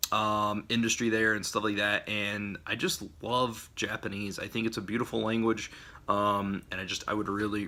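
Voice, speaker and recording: A man's voice: fairly low pitch, bright, forceful tone. A man talking casually to camera in a vlog, rambling and enthusiastic, with frequent fillers and restarts. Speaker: language vlogger.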